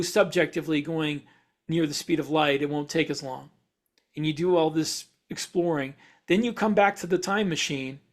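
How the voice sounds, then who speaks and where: Higher-voiced man explaining casually. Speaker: podcast co-host. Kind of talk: casual explanation of science fiction and speculative ideas.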